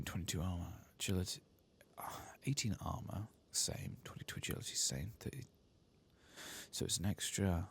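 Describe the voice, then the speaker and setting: A soft-spoken man gives ASMR game commentary in a low, gravelly voice, often slipping into a whisper. He mutters to himself at a slow, unhurried pace, with some noise behind him. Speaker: host of an ASMR game playthrough.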